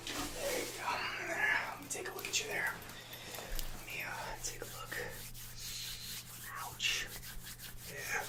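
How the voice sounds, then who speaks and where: A man playing a nice, easily hurt assistant to a gang leader in an ASMR roleplay, speaking softly with hesitant, placating stammers. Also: fairly high pitch, echoey room. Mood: concerned, matter-of-fact.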